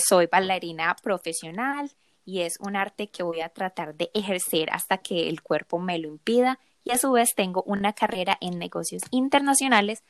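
A woman's voice: on the low side, vocal fry, a bit bright, dry recording. A woman in her thirties with a Colombian accent from Medellín, talking conversationally as co-host of a podcast. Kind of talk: casual conversational podcast intro.

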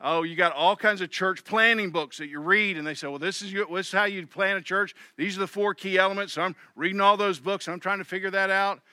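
Middle-aged male pastor, chatty sermon delivery, bright tone, dry close mic. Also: high voice, fairly even intonation, brisk, few pauses, clear, resonant tone, dry recording. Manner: conversational preaching with quoted inner voices.

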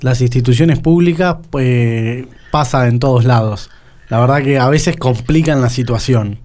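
Mellow Argentine-accented radio host. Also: male voice, medium pitch, measured pace. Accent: Argentine Spanish. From La Pampa, Argentina. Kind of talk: casual radio interview.

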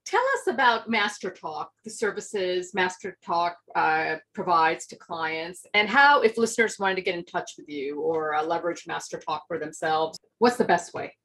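A woman with a low, husky voice hosts the interview and asks her question with a few hesitant pauses. She sounds set back from the microphone. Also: fairly bright tone, low-quality recording. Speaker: podcast host.

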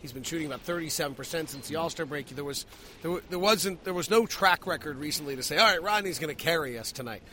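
A man's voice: a little high, fast talker, pushed and bright, a little hiss or hum. A man hosting a sports postgame podcast, talking emphatically as a broadcaster does. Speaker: podcast host, radio play-by-play broadcaster.

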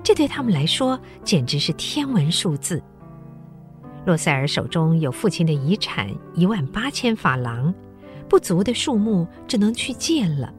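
A woman narrating a literary story in an expressive, dramatic storytelling way. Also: fairly low pitch.

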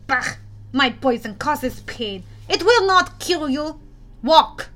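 Casting: female narrator as cruel male monster in doctor's guise, imperious, measured, formal, for a storybook. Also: high-pitched, expressive, sharp tone, full character voice. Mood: scornful. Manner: slow, formal, commanding.

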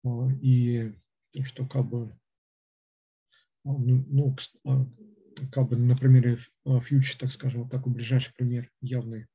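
Guy rambling through a philosophy lecture, lots of ums and restarts, really dark husky voice, muffled lo-fi audio. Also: medium pitch, little pitch movement. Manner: halting, with constant hesitations and fillers. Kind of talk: unscripted lecture.

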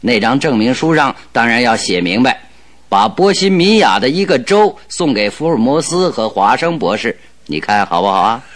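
A clever detective in a radio drama. His middle-pitched voice moves up and down with great animation as he lays out schemes, confident and persuasive. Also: big pitch swings, moderate pace, fairly bright tone. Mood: confident scheming. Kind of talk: Chinese radio drama acting.

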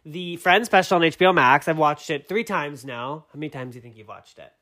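A podcast host in his thirties talks at a rapid clip in a slightly raised voice. He sounds a little congested from allergies. He rambles through asides and fillers in an easy, chatty way. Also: fairly high pitch, lively intonation, bright, forceful tone. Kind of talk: casual podcast chat.

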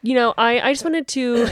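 A woman's voice: fairly high pitch, no room sound. An American woman co-hosting a podcast with casual, chatty, informal and jokey banter. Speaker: podcast co-host.

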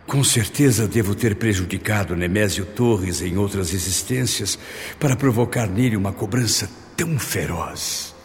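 A man's voice: lowish voice, normal speed, slight background noise, natural voice. An actor playing a humble, devout, frightened father at prayer in a radio drama, earnest, solemn and pleading, with long reverb. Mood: anxious pleading. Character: fearful, humble, devout father praying for strength. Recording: long reverb, as inner thoughts.

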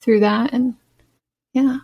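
A woman's voice: fairly high pitch, fairly even intonation, slow, vocal fry, slightly rough, muffled recording. A woman speaking as a podcast guest, reflecting casually and thoughtfully, often framing her points as personal opinion.

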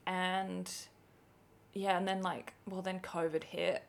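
A woman's voice: lowish voice, level tone, takes it slowly, vocal fry. A young woman from England, her accent half English and half Australian, co-hosting a podcast in a chatty, laughing way. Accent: English with some Australian. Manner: chatty, laughs often, many likes and ums. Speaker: podcast co-host. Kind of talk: casual chat.

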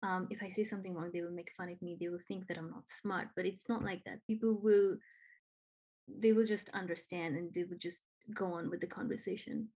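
A woman's voice: fairly low pitch, fairly even intonation, fairly quick, mellow, husky, poor audio. A woman from Nepal who speaks English with a Nepali accent, talking earnestly and encouragingly with frequent ums and repeated phrases. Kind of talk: casual conversation.

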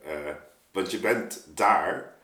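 A man talking casually and reflectively, halting as he searches for words. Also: low-pitched, pushed and bright. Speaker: musician in a podcast discussion. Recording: roomy, echoing sound.

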